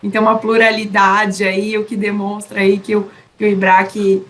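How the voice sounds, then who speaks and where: Flat-toned woman closing an online event. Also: mid-range voice, normal speed, slight background noise. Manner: warm, chatty with fillers. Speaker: event organizer closing the session. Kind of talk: closing remarks. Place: online webinar.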